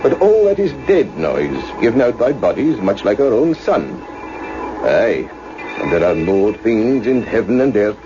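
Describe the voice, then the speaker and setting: A man's voice: on the low side, big pitch swings, measured pace, over music. A man acting as a genial senior astronomer in a radio play, explaining expansively and lyrically. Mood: awed. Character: genial senior radio astronomer showing visitors round, eager and uneasy. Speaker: research scientist. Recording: noisy, dull radio drama recording.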